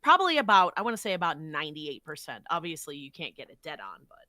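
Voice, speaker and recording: A woman with a low-pitched, bright voice talks casually about her work as a podcast guest. The sound is close and dry, with very little room in it. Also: very animated, quick pace, dry recording. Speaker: guest voice actor.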